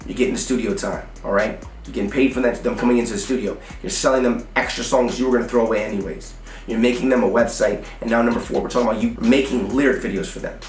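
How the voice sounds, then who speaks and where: A man's voice: medium pitch, fairly even intonation, fast, recorded from a distance. An American man giving a blunt, emphatic motivational how-to talk as a music business coach, with slangy, repetitive hustler phrasing. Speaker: music business coach and online course seller. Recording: roomy echo, slightly off the mic.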